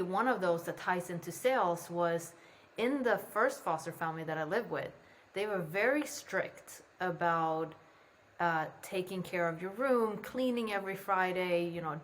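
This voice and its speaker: A young woman, raised in Sweden, chats as a podcast guest in a relaxed, candid way, swearing without a second thought. Her voice sits fairly low. There is a faint noise under her remote call. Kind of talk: casual interview conversation. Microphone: remote call from home.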